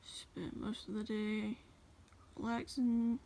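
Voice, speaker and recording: A woman casually reading her journal aloud as a voice-over, in a rambling, halting way. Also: mid-range voice, level tone, creaky, mellow. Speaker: journal flip-through video creator.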